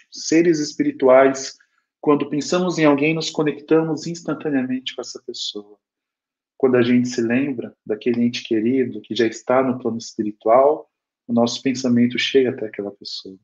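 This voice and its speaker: A man's voice: mid-range voice, darkish tone. A man in his thirties with a Brazilian accent giving an informal, explanatory spiritual lecture in a conversational way over a video call. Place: small home room with bookshelves. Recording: dull lo-fi video call audio.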